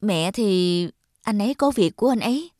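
A woman's voice: mid-range voice, animated, normal speed, warm tone, no room sound, clean studio sound, lightly acted. A young woman playing a tired, earnest wife and mother in a cartoon, plain-spoken and defensive. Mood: weary, defensive. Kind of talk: cartoon voice acting. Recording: music under the voice.

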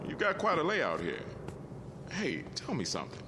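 A man's voice: fairly high pitch, huge pitch swings, moderate pace, background noise. An actor playing a chatty, folksy working man who is easily impressed, in a 1960s TV drama. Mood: amazed. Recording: vintage TV soundtrack with music underneath.

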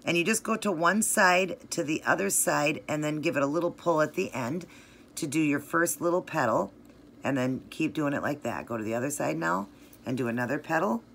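A woman's voice: low voice, expressive, a little fast, gravelly, fairly bright tone, slight background noise. A middle-aged woman hosting a nail art tutorial, chatting eagerly through each step and gushing about colors. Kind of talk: casual step-by-step tutorial narration.

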